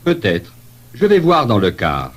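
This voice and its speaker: A man playing a polite traveller in a scripted language-lesson dialogue, giving deliberate answers. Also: mid-range voice, huge pitch swings. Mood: polite, matter-of-fact.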